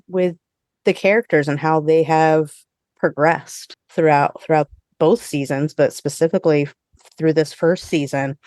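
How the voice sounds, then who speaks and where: A woman's voice: deep voice, a little mellow, no echo, studio quality. A woman hosting a podcast, leading a casual conversational discussion. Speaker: podcast host.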